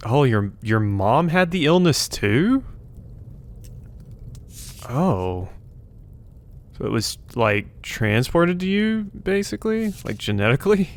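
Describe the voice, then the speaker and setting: A man's voice: fairly high pitch, very animated, slow, long pauses. A man giving casual let's play commentary, musing aloud in a wry way as he goes through a video game.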